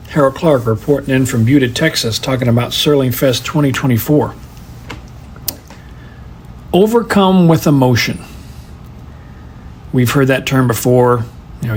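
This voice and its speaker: A man's voice: mid-range voice, a little mellow, a little hiss or hum. A man telling a casual personal story as a guest contributor.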